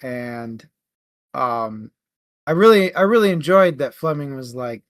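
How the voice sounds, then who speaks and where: A man co-hosting a book club show, discussing casually in a halting, conversational way. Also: medium pitch, lively intonation, dry recording, clean studio sound.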